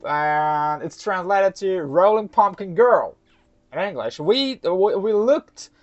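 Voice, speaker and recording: Slow, lively storyteller, slightly muffled. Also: medium pitch. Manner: halting, self-conscious English in chat. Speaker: storyteller and co-host. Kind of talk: casual chat and folktale narration.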